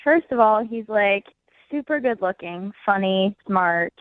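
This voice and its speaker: A woman's voice: mid-range voice, lively intonation, measured pace, dry recording. Woman caller on a tinny phone line. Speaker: radio show caller. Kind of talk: casual chat. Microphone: phone call. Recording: muffled narrow phone line.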